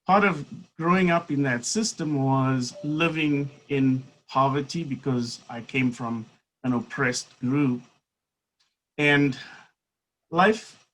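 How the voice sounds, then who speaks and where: Slow, measured man. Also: medium pitch, a bit bright, low-quality recording. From South Africa. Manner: measured and unhurried, with pauses and fillers. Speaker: guest speaker, seminary academic. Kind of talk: reflective personal storytelling in conversation.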